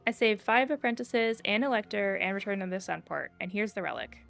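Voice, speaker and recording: A woman streamer chats casually through her gameplay. Her voice sits at a medium pitch with plenty of vocal fry, and game music plays clearly behind her. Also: animated, fairly quick, a bit bright. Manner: chatty, reacting aloud to herself.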